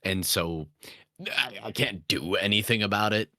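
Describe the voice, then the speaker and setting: Blunt, sweary man with a very low voice. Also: measured pace, sharp tone, dry recording, clean studio sound. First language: Swedish. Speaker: podcast guest, ASMR roleplay creator and streamer. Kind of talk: casual podcast chat.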